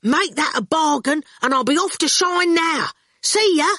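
A woman's voice: high voice, lively intonation, a little slow, sharp tone, dry recording, studio quality, pitched above the performer's own voice, exaggerated voice. A woman voicing a bold, streetwise youngster who bargains in a casual, slangy way for a story. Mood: brisk, bargaining.

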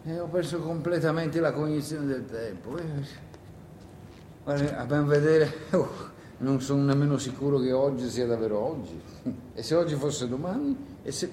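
A man's voice: on the high side, normal speed, dark tone, natural voice. In a roomy, echoing space with faint noise, a man acts out a tired wartime partisan alone with his thoughts. He mutters, exclaims and cuts himself short in a theatrical radio monologue, worn down and hungry. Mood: rambling, weary. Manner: rambling, muttering, self-interrupting, exclaiming.

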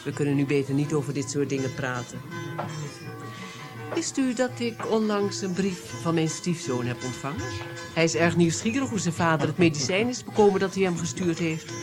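An actress playing a haughty, sly princess in a radio drama, speaking in a teasing, insinuating, aristocratic way. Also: female voice, sits low, lively intonation, moderate pace. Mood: sly, cool. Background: music behind the voice.

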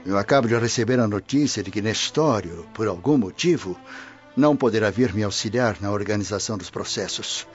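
A man's voice: on the low side, brisk, close to an everyday voice. An actor playing an impatient, authoritative male Roman official in a radio drama, brusque and formal in manner. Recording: dull lo-fi radio drama recording. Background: faint music.

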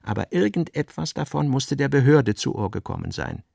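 A man giving a scripted literary narration, reading out notes and drafts in deliberate fragments with pauses. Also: medium pitch, big pitch swings, vocal fry, darkish tone, breathy edge, dry recording, studio quality. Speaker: radio drama narrator.

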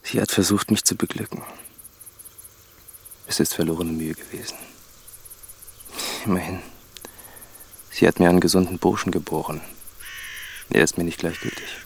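An actor playing a weary, remorseful married farmer in a radio drama, confessing in a solemn, measured way. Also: male voice, low-pitched, fairly even intonation, vocal fry, a little hiss or hum. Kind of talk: German literary radio drama acting.

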